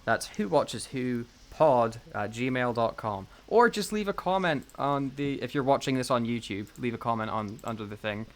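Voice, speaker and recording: A man's voice: mid-range voice, expressive, moderate pace, hardly any pauses. A man co-hosting a casual unscripted podcast, rambling and joking with mock confidence. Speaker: podcast co-host.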